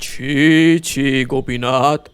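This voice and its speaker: A man voicing a stern, indignant village headman in a cartoon, scolding with authority and drawn-out emphasis. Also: medium pitch, level tone, a bit bright, clear, resonant tone. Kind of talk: cartoon voice acting.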